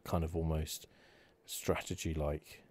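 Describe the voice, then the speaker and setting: A man giving casual ASMR commentary on a game playthrough in a hushed, rambling, musing manner. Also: extremely low pitch, flat delivery, slow. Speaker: gaming video host.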